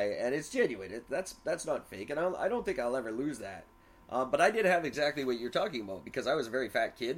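A young man talking loosely on a podcast, brash and self-mocking about his own arrogance. His voice sits at an ordinary middle pitch and he swears freely. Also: slightly rough. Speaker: podcast co-host. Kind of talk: casual podcast chat.

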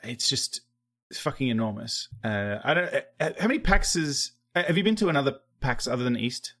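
A man's voice: mid-range voice, expressive, moderate pace, fairly bright tone. A man hosting a casual podcast chat, rambling a bit and swearing loosely. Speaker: podcast host.

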